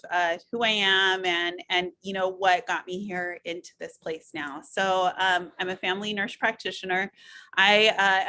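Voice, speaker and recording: A woman's voice: medium pitch, sharp tone, a little hiss or hum. A middle-aged woman from Missouri, a nurse practitioner giving a loose, chatty webinar talk full of fillers and restarts. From United States (Missouri). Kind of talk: webinar presentation with slides.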